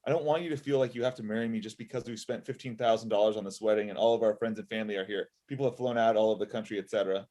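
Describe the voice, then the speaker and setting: A man's voice: fairly low pitch, fairly even intonation, racing, hardly any pauses, dark tone, natural voice. A man playing a self-assured matchmaking boss with a casual manner in a comedy table read on a video call. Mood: resigned. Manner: casual, offhand. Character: breezy, self-assured male matchmaking business owner. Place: indoor room at home. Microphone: video call, sitting back from laptop.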